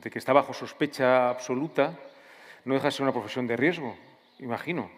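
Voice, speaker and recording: A man's voice: medium pitch, fast, long reverb tail, slight background noise. A man interviewing a writer at a literature festival, building long questions with hesitations.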